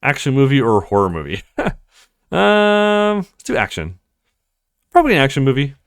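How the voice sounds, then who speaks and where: Animated male let's player, very lively pitch. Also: normal speed, smooth, dry recording, clean studio sound. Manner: animated, acting out characters' lines. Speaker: let's play streamer. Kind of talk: reading visual novel dialogue aloud.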